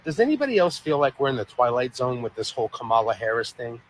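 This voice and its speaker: A man's voice: medium pitch, sing-song, quick pace. Sarcastic male commentator, exasperated, slight background hiss.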